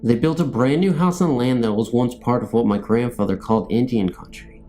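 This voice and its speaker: A man's voice: mid-range voice, fairly quick, dark tone, faint music behind. A man reading scary stories as a narrator, in a scripted storytelling style.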